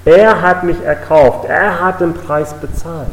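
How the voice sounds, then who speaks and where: A man's voice: on the high side, measured pace. A man from the United States preaching an earnest, emphatic sermon in a church, with repeated declarations. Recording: echoey hall, voice a bit distant.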